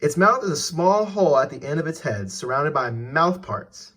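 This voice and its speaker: A man's voice: on the high side, lively intonation, normal speed, a bit bright. A man reading an educational text aloud for children as a teacher, putting questions directly to the listener.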